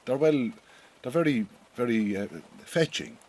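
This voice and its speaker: An actor playing an awkward, well-meaning Irish farm boss in a radio drama, with an Irish accent and a blunt but stumbling way of talking. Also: male voice, medium pitch, measured pace, darkish tone, close to an everyday voice. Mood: awkward, friendly.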